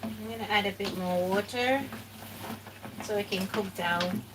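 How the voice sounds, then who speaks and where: A woman from Ghana talks slowly through her cooking and thinks aloud as she goes. The camera sits a few steps away, so she sounds distant. Clear kitchen noise runs behind her voice. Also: mid-range voice. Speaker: cooking vlogger. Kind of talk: casual cooking commentary. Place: home kitchen.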